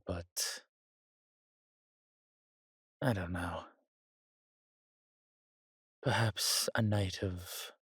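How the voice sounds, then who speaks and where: Man voicing a husky, courtly vampire seducer. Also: deep voice, no echo, clean studio sound. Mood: suave, teasing. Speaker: voice actor in an audio drama. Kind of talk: intimate romantic audio roleplay addressed to the listener.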